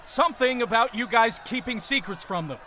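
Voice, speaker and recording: A narrator acts out an agitated man with urgent warnings. His voice is high and bright, with a tense edge, over a slightly noisy audiobook recording. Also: lively intonation, fairly quick, a faint crowd, heavily acted. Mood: defensive, shouting. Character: frantic man in a suit bringing dire warnings. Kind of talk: acted audiobook dialogue.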